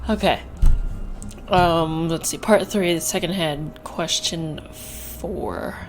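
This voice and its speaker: A woman's voice: deep voice, slow pace, a little hiss or hum. A young woman with a slight Filipino accent chatting casually and self-deprecatingly while playing a game. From Philippines. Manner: rambling, self-deprecating, stumbling over words. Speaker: gaming YouTuber. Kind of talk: casual let's-play commentary.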